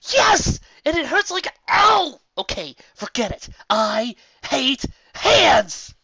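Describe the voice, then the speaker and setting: Young female unicorn, playful and dramatic, storybook character with wide-swinging pitch, male performer, slightly dull sound. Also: very animated, takes it slowly, creaky, sharp tone, no echo, exaggerated voice. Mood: pained outburst. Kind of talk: audiobook character acting.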